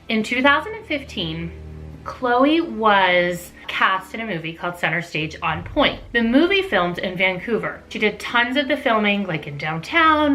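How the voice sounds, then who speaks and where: Bright-voiced middle-aged woman telling a story. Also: mid-range voice. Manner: animated, gossipy, acts out dialogue. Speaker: vlogger telling a personal story. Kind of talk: casual storytime monologue to camera.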